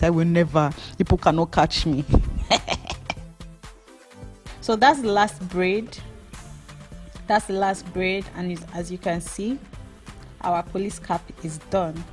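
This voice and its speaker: A woman's voice: mid-range voice, a little mellow. A young Nigerian woman with a Nigerian accent and Yoruba as her first language, giving a hair-braiding tutorial voice-over in a playful, gossipy, rambling way.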